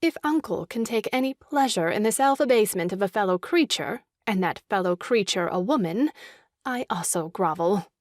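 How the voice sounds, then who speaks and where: A self-dramatizing young wife in an audio farce played by a woman. She pleads and laments theatrically in a medium-pitched voice. The sound is very dry. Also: animated, normal speed, no echo, natural voice. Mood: haughty. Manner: melodramatic, plaintive. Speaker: voice actor.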